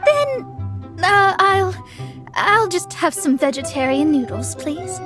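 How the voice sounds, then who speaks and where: High-pitched, proud young astrologist. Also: female voice, sing-song, slow, music in the background, heavily acted. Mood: flustered. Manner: formal, lofty, hesitant when flustered. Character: proud young female astrologist, haughty but flustered about money. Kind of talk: video game voice acting.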